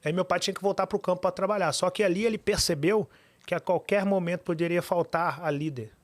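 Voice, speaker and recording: A man, a podcast guest telling his life story with animated, emphatic repetition. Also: high voice, fast talker, no room sound. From Minas Gerais, Brazil.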